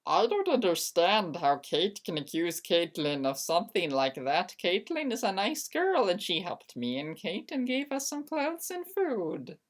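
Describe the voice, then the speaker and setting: Female story reader, theatrical, exaggerated, unhurried pace, highly animated pitch, dramatic narration. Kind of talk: dramatic reading of fan fiction.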